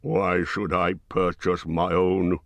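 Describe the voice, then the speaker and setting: Guy doing a deep grumpy dwarf king, slow and heavy, very stern. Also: little pitch movement, no echo, heavily acted. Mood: wrathful. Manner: slow, stern, forceful delivery. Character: proud, wrathful male dwarf king.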